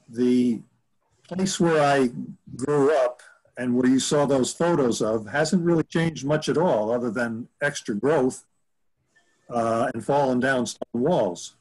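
A man in his seventies reminiscing casually on a video call from home, rambling and halting as he corrects himself. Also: medium pitch, darkish tone, poor audio. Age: 70s. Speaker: author answering audience questions. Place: room at home. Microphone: computer mic on a video call.

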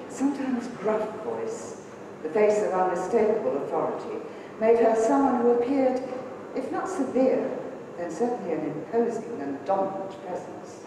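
A middle-aged woman, reading a prepared tribute from a church lectern. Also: mid-range voice. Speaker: speaker at a memorial service. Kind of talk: prepared tribute speech read aloud. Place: large church, indoors. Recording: echoey church, distant mic, noisy.

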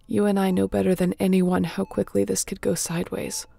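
A heavily creaky female space captain, acted by a woman in a sci-fi audio roleplay. She speaks softly and openly at a middling pitch, battle-worn but tender, with a wry streak and a breathy edge. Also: level tone, fairly quick, no echo. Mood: sober, weary. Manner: soft, sighing, emotionally open. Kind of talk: intimate audio roleplay acting.

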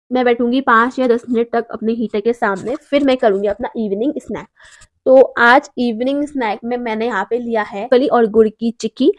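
A young woman in her twenties talking through her daily routine in a chatty, encouraging way, filmed at home by a camera in front of her. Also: fairly high pitch. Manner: chatty, encouraging, keeps saying "theek hai". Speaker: vlogger. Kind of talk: daily routine vlog, motivational chat. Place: small room at home, sitting on bed.

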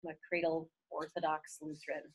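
A woman's voice: deep voice, monotone, brisk, husky. A young woman from Minnesota, a seminary student, introducing herself in a classroom in a nervous, chatty way.

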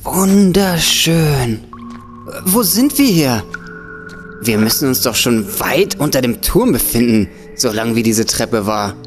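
A woman playing an anxious, cautious young student in an audio adventure drama, hesitant and expressive. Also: extremely low pitch, takes it slowly, faint music behind, heavily acted. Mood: awed.

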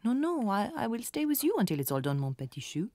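A woman's voice: mid-range voice, big pitch swings, fast talker, warm tone, no echo, close to an everyday voice. An actress playing a kindly French woman working at a hotel in a children's audio story, with a French accent. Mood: kindly, encouraging.

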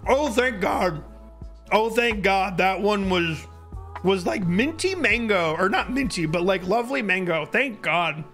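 Male streamer, early 30s, high hoarse voice, casual chat, close boom mic at home, music behind. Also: expressive, measured pace, a bit bright. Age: early thirties. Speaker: live streamer. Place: indoor home streaming room. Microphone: close boom-arm microphone.

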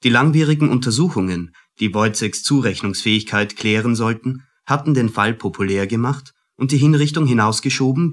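A man narrating a scripted documentary introduction in a measured, factual manner. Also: medium pitch.

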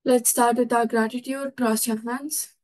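A woman's voice: on the high side, fairly even intonation, measured pace, darkish tone. A woman leads a guided meditation and exercise routine, giving instructions in a small room at home. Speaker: session leader of a meditation routine.

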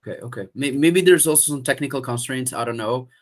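A man's voice: medium pitch, quick pace. Italian man on a video call. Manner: hesitant, many fillers and restarts. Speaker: event organizer. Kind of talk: casual video call meeting. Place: room at home.

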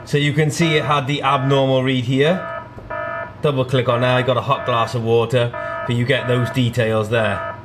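A man's voice: mid-range voice, level tone, normal speed, music in the background. A man in his thirties giving an informal hands-on tutorial, explaining a product step by step. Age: 30s. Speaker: product demonstrator. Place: small indoor showroom.

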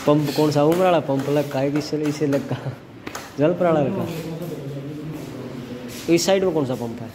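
A man's voice: a little high, normal speed, pauses often. A man in his twenties with a Haryanvi accent, giving casual vlog commentary into a handheld phone. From Haryana, India. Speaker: vlogger. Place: motorbike dealership showroom. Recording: echoey hard-walled showroom, loud background noise.

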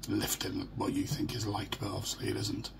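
A middle-aged British man with a hoarse, croaky voice, talking haltingly and in a downbeat way in a casual personal video diary. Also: lowish voice, level tone. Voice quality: croaky, gravelly. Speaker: vlogger. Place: small furnished room at home. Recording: noisy home phone or webcam recording.

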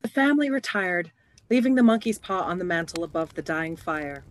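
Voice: medium pitch, animated, a little fast. A narrator reading scripted storytelling for a radio play.

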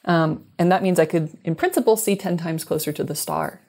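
A woman in her thirties with a low-pitched voice talks through technical material as an interview guest. She explains thoughtfully and qualifies her statements as she goes, speaking over a video call on an earbud mic. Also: brisk, mellow. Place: small room indoors, video call. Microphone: wired earbuds headset on video call.

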